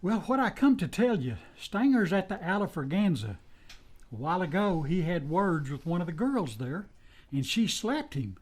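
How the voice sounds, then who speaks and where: A performer as folksy western sidekick, American accent, rustic dialect, earnest pleading, very animated pitch, radio play. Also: moderate pace. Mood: urgent, grave. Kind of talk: old-time radio western acting.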